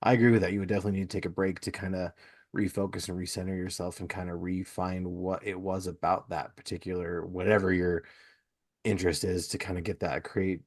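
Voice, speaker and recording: Low-pitched man hosting a casual chat. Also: level tone, quick pace, a little mellow. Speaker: podcast host.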